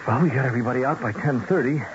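An actor playing an anxious, fast-talking political fixer in a 1970s radio drama, urgent and placating, stumbling over words. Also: male voice, mid-range voice, close to an everyday voice. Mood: smooth, assured. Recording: dull, noisy old radio broadcast.

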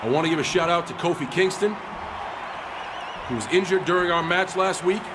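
An actor playing an earnest, defiant wrestling hero, giving an emphatic promo to an arena. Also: male voice, sits high, brisk, close to an everyday voice. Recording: loud arena crowd noise.

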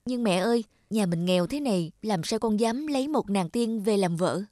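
A woman voicing a humble, worried young peasant man in an animated fairy tale. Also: medium pitch, normal speed, no echo, clean studio sound, close to an everyday voice. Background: loud music.

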